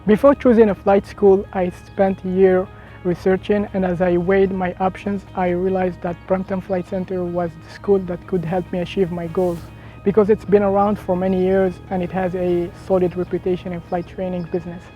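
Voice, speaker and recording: A man from Algeria, a student pilot, speaking in a steady, rehearsed testimonial. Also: high voice, normal speed, dull audio, faint music behind. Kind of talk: testimonial interview.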